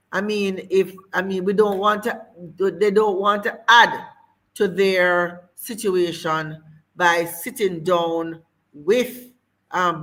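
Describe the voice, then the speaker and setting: A woman's voice: on the low side, fairly bright tone. A woman host, interviewing a guest in a hesitant, circling way with many fillers.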